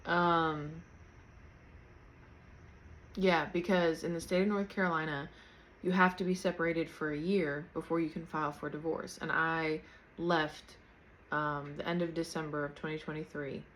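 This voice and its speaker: Candid thirty-year-old woman, lowish voice. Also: fairly even intonation, moderate pace, a bit bright, a little hiss or hum, low-quality recording. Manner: candid, rambling, laughs lightly. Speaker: podcast host. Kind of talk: unscripted casual personal talk. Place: at home, in pajamas. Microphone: camera's built-in mic, external mic unplugged.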